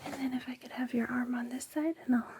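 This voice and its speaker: A woman performing a whispered ASMR roleplay, gentle, soothing and unhurried, close on a binaural microphone. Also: high voice, steady tone, noisy background.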